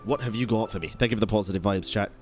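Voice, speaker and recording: A man's voice: lowish voice, racing, over music. A man giving casual, exclamatory, sweary live commentary as he streams a game.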